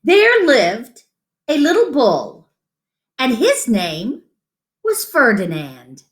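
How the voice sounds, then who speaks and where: A woman reading a picture book aloud to children in an expressive, gentle, deliberate storytelling manner, with asides about the pictures. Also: fairly high pitch. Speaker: children's story-time reader.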